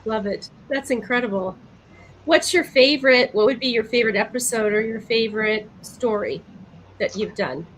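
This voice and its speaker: A woman's voice: on the high side, normal speed, slight background noise, poor audio. A woman co-hosting a casual interview, talking in an enthusiastic, encouraging manner. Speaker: co-host. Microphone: online call.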